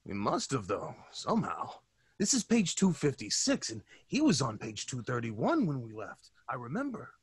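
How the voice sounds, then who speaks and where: A man playing a breezy, slangy, excitable pal in a 1930s-style old time radio drama. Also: medium pitch, normal speed, husky, no room sound, clean studio sound. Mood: breezy, puzzled. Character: excitable male pal growing alarmed, breezy 1930s fellow.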